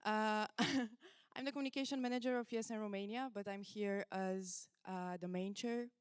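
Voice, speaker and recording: A woman's voice: medium pitch, fairly even intonation, moderate pace, smooth, no room sound, studio quality. A woman chairing a meeting, introducing herself in a playful, chatty way.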